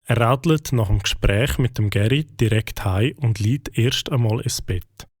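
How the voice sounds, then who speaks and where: A man narrating a children's cartoon story with a Swiss German accent, in a calm, even storytelling manner. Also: on the low side, a little slow, no echo, studio quality.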